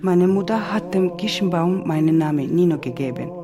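Low-voiced Georgian-accented woman over music. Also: normal speed. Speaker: interviewee in a radio feature. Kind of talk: personal storytelling. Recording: music under the voice. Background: prominent music.

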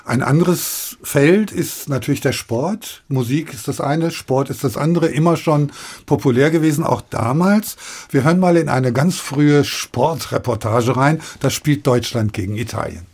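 A man's voice: a little high, a little slow, darkish tone, breathy edge. A man hosting a radio interview, putting questions and introducing clips. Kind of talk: moderated interview.

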